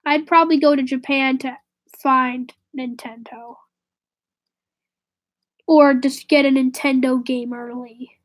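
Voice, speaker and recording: A woman live streaming and chatting casually with viewers, slightly raspy with a stuffy nose from a cold, rambling and correcting herself. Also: sits high, fairly even intonation, takes it slowly, long pauses. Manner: rambling, self-correcting, drifts to a whisper.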